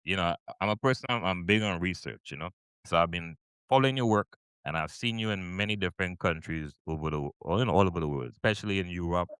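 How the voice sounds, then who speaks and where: Low, smooth-voiced male podcast host. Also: quick pace, a bit bright, no echo, studio quality. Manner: rambling, many fillers and restarts. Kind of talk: casual interview questions.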